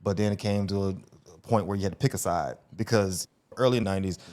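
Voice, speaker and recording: A man's voice: sits low, fairly quick, darkish tone. A man in his forties from Las Vegas, a podcast guest talking casually and slangily about his life. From North Las Vegas, USA. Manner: laid-back storytelling, slangy, restarts phrases. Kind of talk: casual interview conversation.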